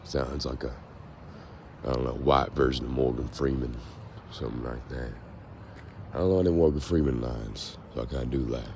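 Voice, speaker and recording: A young man with a raspy, hoarse voice, rambling to the camera as he walks outdoors in a casual vlog. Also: very deep voice, normal speed, darkish tone. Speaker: vlogger. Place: outdoors, walking on a foggy street. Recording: noisy outdoor recording with passing traffic.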